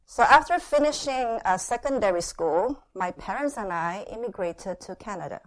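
A middle-aged woman from Indonesia gives a church testimony at an unhurried pace. She talks in short phrases broken by pauses, "uh" and "yeah", and laughs lightly now and then. Her voice sits at a middling pitch. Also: animated, rough recording. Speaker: guest speaker sharing her testimony. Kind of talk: church testimony with consecutive interpretation.

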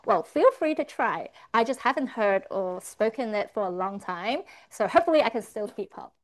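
A woman's voice: on the high side, very animated, moderate pace. A woman interpreting a church testimony, rendering the speaker's words line by line in the first person. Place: church service.

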